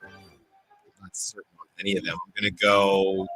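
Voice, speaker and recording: A man's voice: low-pitched, flat delivery, moderate pace, a bit bright, soft background music, poor audio. A man, a broadcaster guesting on a radio show, bantering casually as a game contestant.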